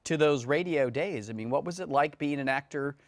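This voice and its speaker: A man hosting a talk show, warmly prompting his guest with admiring questions. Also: on the high side, a little fast, no echo. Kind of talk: casual interview chat.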